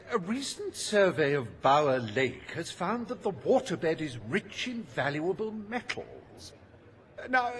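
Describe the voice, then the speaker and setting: An actor playing a smug, greedy industrialist in a video game, speaking in pompous, theatrical oratory. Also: male voice, medium pitch, slight background noise.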